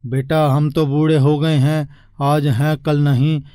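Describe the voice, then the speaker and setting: A man voicing an old village father in a moral-story cartoon, gentle and persuading. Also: a little high, little pitch movement, takes it slowly, clear, resonant tone, no echo, studio quality. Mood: gentle, resigned.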